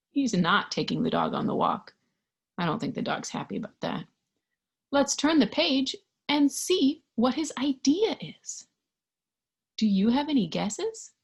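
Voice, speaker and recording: A woman reading a picture book aloud to young children, expressively, pausing to put questions to her listeners. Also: on the high side, husky. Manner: expressive, animated, with hushed asides and questions to listeners. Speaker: storybook reader.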